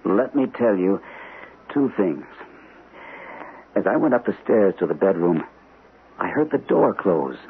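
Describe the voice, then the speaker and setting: A low-voiced captain from an old radio play, earnest and deliberate as he confides his story. His delivery is lively in pitch, and the sound is narrow and lo-fi with faint hiss. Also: full character voice. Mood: earnest, confessional. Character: earnest male captain confiding a troubling night. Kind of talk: vintage radio drama acting.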